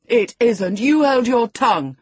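A woman's voice: mid-range voice, lively intonation, a little slow, a little mellow, husky, no echo, pitched above the performer's own voice. A woman voicing a peevish, sickly teenage boy in an audiobook story, whining and self-pitying. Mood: spiteful, taunting. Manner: whiny, taunting, self-pitying.

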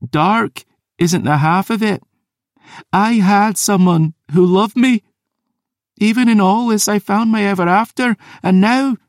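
A shattered young maid, her voice pitched high and cracking with grief, as a male narrator puts her on. She trembles, then lashes out in raw, tearful cries. The recording is close and dry. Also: slow, long pauses, no room sound, natural voice. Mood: anguished. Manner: trembling, turning to anguished shouting. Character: young woman refugee maid, grief-stricken, volatile.

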